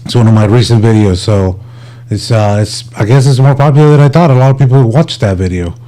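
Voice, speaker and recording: Laid-back male livestreamer with a mellow voice. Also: medium pitch, brisk. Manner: informal, laid-back, addresses viewers as buddies. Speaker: livestream host. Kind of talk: casual livestream chat with viewers.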